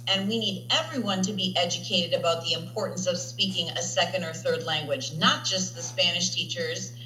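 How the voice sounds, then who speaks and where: A woman's voice: on the low side, steady tone, moderate pace, sharp tone, echoey room, slight background noise, low-quality recording. A woman language teacher talking casually in an interview and often checking in with "right?". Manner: chatty, tags statements with "right?". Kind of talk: interview conversation.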